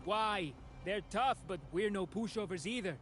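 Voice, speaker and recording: A man's voice: high-pitched, moderate pace, a bit bright, a little hiss or hum. A man playing a hot-blooded young mafia member in a video game, urgent, insistent and challenging. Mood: indignant.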